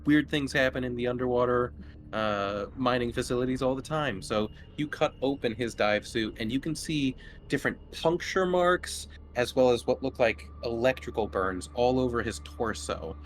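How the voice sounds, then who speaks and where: A man's voice: mid-range voice, normal speed. A man narrating a tabletop horror game as game master, conversational and descriptive. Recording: noticeable background noise.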